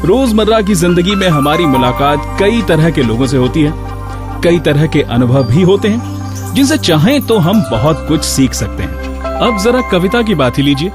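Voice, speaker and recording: A man hosting a radio programme, reading scripted narration and instructing listeners in a deliberate way. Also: fairly high pitch, big pitch swings. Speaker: radio show host. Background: music bed.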